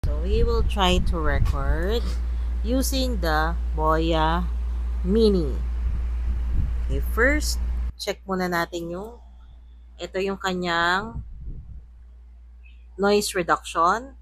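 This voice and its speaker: A woman's voice: fairly low pitch, very slow, pauses often. A woman with a Filipino accent giving a casual, chatty gadget demonstration as a product reviewer. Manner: chatty, mixing two languages. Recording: noisy room with steady background hum. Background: air conditioner or fan hum.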